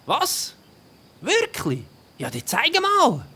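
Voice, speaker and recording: A woman's voice: sits high, huge pitch swings, takes it slowly, sharp tone, breathy edge, exaggerated voice. A woman voicing a cheeky, blunt young schoolkid in a children's audio drama, exclaiming eagerly with a Swiss German accent. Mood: curious, surprised.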